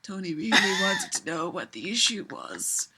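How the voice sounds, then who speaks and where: A woman's voice: on the low side, big pitch swings, normal speed, sharp tone, slight background noise. A woman giving a giggly, theatrical, mock-dramatic reading of fan fiction to friends. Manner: theatrical, giggly, often breaking up.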